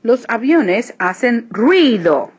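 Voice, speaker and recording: A woman reading a children's picture book aloud, switching between hushed and emphatic delivery. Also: fairly high pitch, sing-song, slow pace. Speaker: read-aloud narrator. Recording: dull lo-fi recording, clear background noise.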